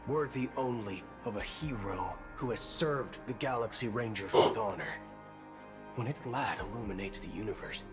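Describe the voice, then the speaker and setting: An actor playing a grand, stoic space ranger in a video game, speaking in solemn, measured declarations. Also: male voice, on the low side, lightly acted. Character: stoic adult male galaxy ranger, grandly heroic. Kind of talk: scripted video game acting. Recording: game audio over loud music.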